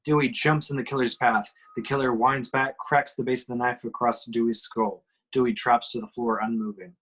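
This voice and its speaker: A man's voice: medium pitch, fairly even intonation, normal speed, a bit bright. Male narrator, mid-range voice, reads stage directions for an amateur table read. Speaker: reader of action descriptions. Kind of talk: scripted screenplay narration at a table reading.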